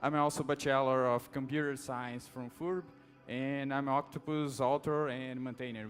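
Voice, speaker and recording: A young man from Brazil with a Brazilian Portuguese accent, giving a relaxed conference talk on a big stage, with many fillers and restarts. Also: mid-range voice. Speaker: conference speaker. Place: large dark auditorium stage.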